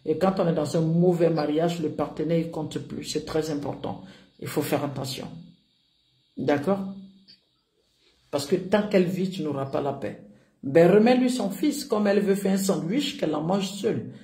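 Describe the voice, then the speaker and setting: A woman's voice: low-pitched, normal speed, echoey room. A woman marriage coach giving advice to callers, checking in with listeners. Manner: warm-toned counsel with repeated "d'accord?".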